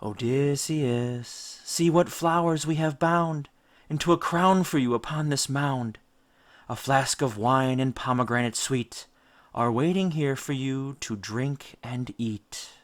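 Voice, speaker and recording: A man's voice: medium pitch, close to an everyday voice. Man voicing a coaxing, sing-song female siren. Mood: seductive, sing-song. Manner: slow, sing-song, rhyming enticement. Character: alluring female sirens, coaxing sea temptresses.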